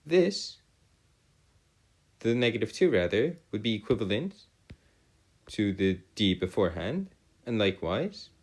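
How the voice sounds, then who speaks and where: A man with a fairly deep voice tutors through a worked problem. His intonation rises and falls widely from phrase to phrase. He speaks in formal, elaborate sentences and often stops to correct himself. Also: big pitch swings, unhurried, long pauses. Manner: formal, wordy phrasing with frequent self-correction. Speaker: math tutor. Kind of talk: video lesson working through calculus problems aloud.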